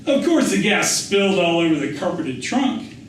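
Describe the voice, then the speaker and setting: A man's voice: high-pitched, big pitch swings, moderate pace. Echoey man voicing a folksy, emphatic farmer dad. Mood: emphatic, relishing. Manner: folksy, emphatic, rhetorical questions. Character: plain-spoken stoic Midwestern farmer father telling cautionary tales. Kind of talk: acted quote within a literary reading. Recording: echoey room, distant mic, faint noise.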